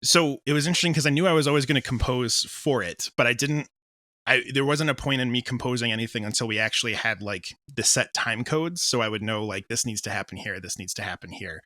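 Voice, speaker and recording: A man's voice: medium pitch, fast talker, sharp tone, no room sound, clean studio sound. A man speaking casually as an interview guest, explaining his creative work and voicing his own thoughts as quotes. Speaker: composer and audio drama creator interviewed as a guest.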